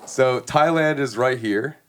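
A man's voice: medium pitch, takes it slowly, smooth. A young American man in casual chat, laid-back and slangy, often saying "man" and "you know what I mean". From United States.

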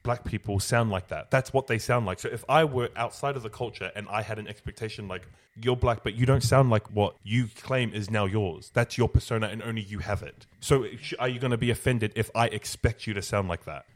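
A man's voice: on the low side, brisk, few pauses. A man co-hosting a podcast, pressing his points with question after question in a casual debate. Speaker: podcast co-host.

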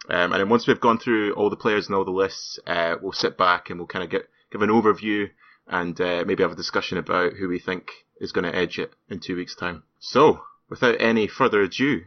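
A man hosting a podcast in a casual, joking way, cursing now and then. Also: low voice. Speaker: podcast host. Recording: slightly muffled podcast audio.

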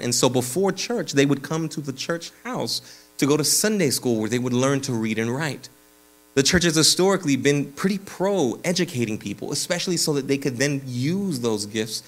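A pastor preaching a sermon in a church, casual and energetic, with slang and frequent restarts. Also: male voice, on the high side. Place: church sanctuary.

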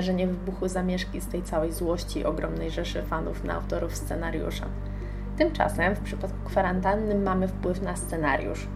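A woman presents on radio in a fairly low voice. There is noticeable fry in it as she reviews and shares her own commentary. Music plays clearly behind her. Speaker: radio show host.

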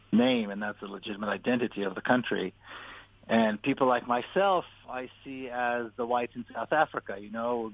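A man from Israel giving a deliberate, earnest account as a radio interview guest, repeating words for emphasis. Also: fairly low pitch. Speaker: interview guest, author and activist. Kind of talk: radio interview, personal account and political argument. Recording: tinny, phone-like narrow-band line.

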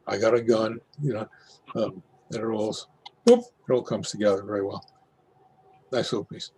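Mellow, husky man giving casual feedback. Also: fairly low pitch, steady tone, moderate pace. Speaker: play reading participant giving feedback. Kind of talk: casual critique.

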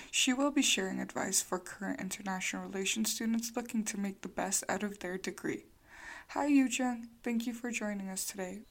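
A young woman co-hosting a student podcast, reading a scripted introduction and welcoming the guest brightly. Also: medium pitch, gravelly.

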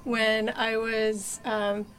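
A woman in her thirties from New Hampshire talks through her answers in an interview, pausing often to find the right words and poking fun at her younger self. Her voice sits a little high. A faint hiss of noise stays under the recording. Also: flat delivery, a bit bright. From New Hampshire, USA. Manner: thoughtful, many fillers and restarts, self-deprecating humor. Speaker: sustainability outreach coordinator, guest.

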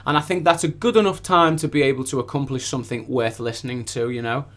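Male recording artist, mid-pitched voice, chatty and informal, relaxed studio interview delivery. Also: quick pace. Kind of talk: casual studio interview chat.

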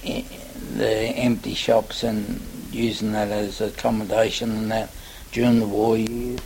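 An older Australian man, in his seventies or beyond, reminiscing in a halting, rambling way for an oral history interview. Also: lowish voice, little pitch movement, creaky, a little mellow, low-quality recording. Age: older, 70s or above. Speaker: interviewee recalling local history. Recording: faint hiss, amateur interview recording.

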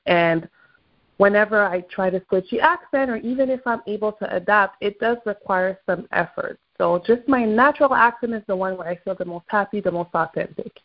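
A woman's voice: mid-range voice, brisk, lo-fi sound. A woman from Quebec with a Québécois French accent, a native French speaker, hosting an upbeat morning motivational call and coaching her listeners. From Quebec, Canada. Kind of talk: motivational talk.

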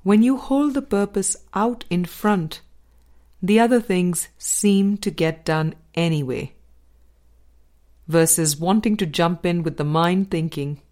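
A woman narrating a spiritual dialogue book as a scripted audiobook reading, with measured, deliberate phrasing and pauses. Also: lowish voice, a little mellow. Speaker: audiobook reader.

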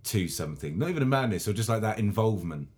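A man's voice: on the low side, expressive, fast talker, a little mellow. A man who tells stories, talking enthusiastically in a casual podcast chat and swearing freely. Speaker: storyteller, podcast co-host.

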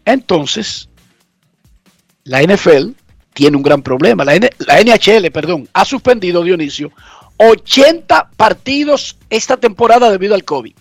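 A man with a notably high voice hosts a casual radio show from his garage, sounding congested with a cold. He talks in a chatty, emphatic way and often repeats his points. The sound is dry and close, with faint music underneath. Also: sing-song, a little slow, dry recording. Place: home garage.

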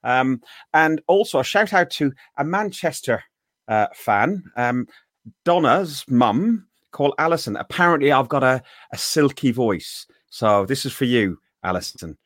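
A man's voice: medium pitch, animated, unhurried, fairly bright tone, dry recording, studio quality. An Irish man hosting a casual fan chat show, talking in a rambling way with many restarts. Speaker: podcast host.

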